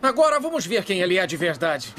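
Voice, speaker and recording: A man's voice: high-pitched, big pitch swings, a little fast, background noise. A Brazilian man voicing a confident, earnest young leader of detectives in a dubbed cartoon. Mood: confident, explaining. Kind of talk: animated cartoon dubbing.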